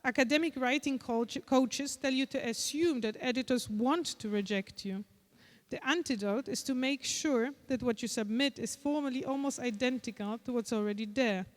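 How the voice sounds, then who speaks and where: A woman with a Polish accent, a conference speaker, reading a prepared lecture in a measured, deliberate way. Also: a little high, big-room sound. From Poland. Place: large conference hall.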